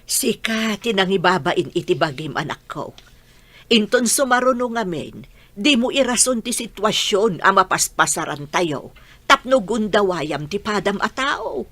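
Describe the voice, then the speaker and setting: A woman's voice: mid-range voice, lively intonation, measured pace, fairly bright tone, dry recording. A woman with a Filipino Ilocano accent playing a firm, concerned middle-aged mother who admonishes her child, in radio drama style. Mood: admonishing, pleading.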